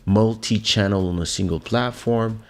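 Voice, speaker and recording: A man hosting a casual livestream, thinking aloud in an unhurried way with frequent pauses and muttering, close on a podcast microphone. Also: fairly low pitch, soft background music. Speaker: livestream host and musician.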